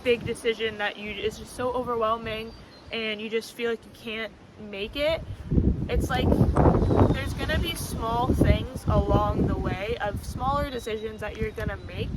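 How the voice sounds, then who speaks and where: Loud-voiced woman vlogging in wind. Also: fairly high pitch, moderate pace. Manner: rambling, many fillers and "like". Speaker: spiritual vlogger. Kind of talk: casual spiritual talk to camera. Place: outdoors on a windy scenic spot. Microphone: camera mic, no external microphone. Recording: windy outdoor camera audio.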